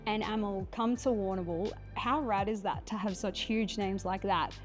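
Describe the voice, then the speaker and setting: A woman's voice: mid-range voice, fairly even intonation, moderate pace, soft background music. A woman interviewing a musician in a friendly, chatty way. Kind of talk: casual interview.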